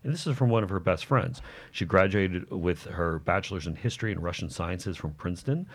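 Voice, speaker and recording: A man appearing as a political commentator, laying out confident talking points in a brisk, practiced way. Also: low-pitched.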